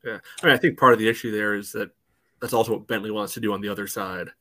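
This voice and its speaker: A man giving casual soccer analysis as a podcast panelist, phrasing things haltingly with frequent filler phrases. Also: lowish voice, fairly even intonation, crowd noise. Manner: hesitant phrasing peppered with "you know".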